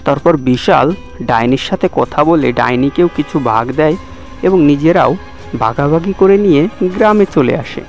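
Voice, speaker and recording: A man's voice: fairly high pitch, animated, moderate pace. A man reading scripted narration for a cartoon story. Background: background music.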